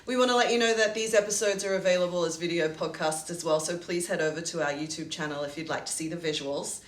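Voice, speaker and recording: A woman's voice: on the low side, fast. A woman producer opening the show, friendly and a bit read, with some fillers. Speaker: producer and co-host. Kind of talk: scripted show intro. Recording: roomy, faint music.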